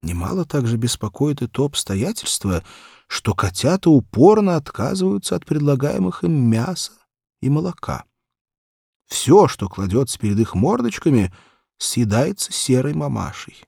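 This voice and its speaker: A man narrating a literary story for children in a theatrical, measured way. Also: mid-range voice, very animated, creaky, warm tone, slightly rough, dry recording, studio quality.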